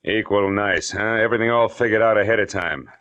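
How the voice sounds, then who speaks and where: A man's voice: lowish voice, steady tone, brisk, a bit bright, lightly acted. An actor playing a wisecracking, hard-boiled private detective who narrates his own case in a 1940s radio drama, with dry, sardonic delivery. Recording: radio drama with music underneath.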